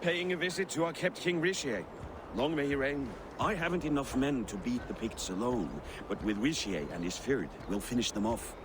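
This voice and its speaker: An actor playing a cunning, secretive Viking war chieftain who talks in a conspiratorial, commanding way. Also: male voice, medium pitch, normal speed, noisy background, close to an everyday voice.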